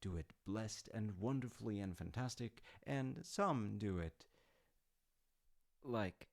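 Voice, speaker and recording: Man playing a deep, gravelly, easygoing storytelling dad. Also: lively intonation, exaggerated voice. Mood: wry, amused. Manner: rambling, wry, hesitant pauses with asides. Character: easygoing dad telling his grown child Norse myths. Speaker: audio roleplay voice actor. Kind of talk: intimate conversational storytelling roleplay.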